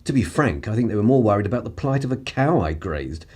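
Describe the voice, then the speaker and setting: A man's voice: on the low side, expressive, quick pace, a little mellow, husky. A man with an upper-class English accent playing an urbane, wry 1930s journalist in a radio play, languid and drily superior. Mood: wry, genial. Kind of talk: period radio play acting.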